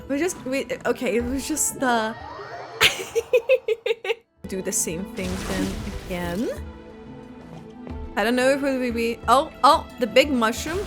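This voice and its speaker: High animated woman reacting to a game. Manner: informal, halting, thinking aloud. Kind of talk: casual gameplay reaction commentary. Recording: game music behind the voice.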